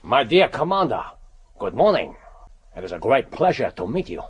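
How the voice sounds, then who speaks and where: An actor in a radio drama playing a courteous, effusive Japanese spymaster, with a husky voice and a formal, welcoming manner. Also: male voice, medium pitch, very animated, moderate pace, lo-fi sound. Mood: genial, welcoming.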